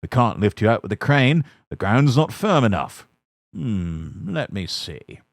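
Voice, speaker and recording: A man voicing a stout, stern but kindly railway boss in a storybook reading, firm and measured. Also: on the low side, very animated, no echo, clean studio sound. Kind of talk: storybook character voice.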